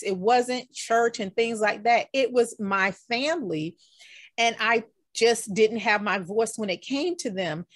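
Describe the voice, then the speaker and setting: Bubbly high-voiced podcast host woman. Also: dry recording, clean studio sound. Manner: warm, enthusiastic, talks straight to listeners with "y'all". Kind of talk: casual interview chat.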